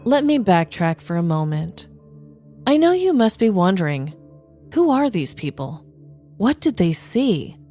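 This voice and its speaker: A woman's voice: mid-range voice, sing-song, measured pace, mellow, soft background music. A woman podcast host telling scripted true-crime stories with dramatic, tongue-in-cheek delivery, like a comedic narrator.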